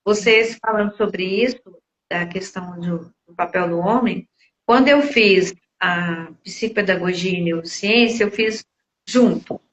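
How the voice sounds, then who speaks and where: A woman's voice: mid-range voice, measured pace, a bit bright, roomy sound, a little hiss or hum. A Brazilian woman in her sixties reflecting casually in conversation as a podcast guest, searching for words as she goes. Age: 60s. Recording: slightly dull lo-fi audio.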